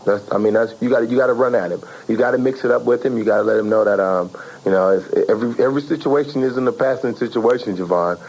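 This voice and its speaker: A man giving casual, halting answers as a football player in a sports interview. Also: medium pitch, a little mellow, rough recording.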